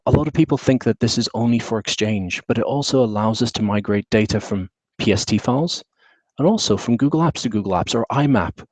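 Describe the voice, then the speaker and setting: A man from the UK with a British accent, presenting a technical session at an online conference in a relaxed way, with many fillers and restarts. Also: fairly low pitch, no echo. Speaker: webinar presenter.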